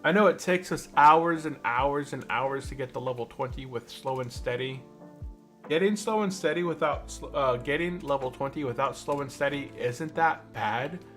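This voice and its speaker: Male game streamer, medium pitch, casual rambling chat over music. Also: moderate pace, fairly bright tone. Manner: rambling, thinking aloud. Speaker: livestream host, gamer. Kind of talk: casual livestream chat while gaming.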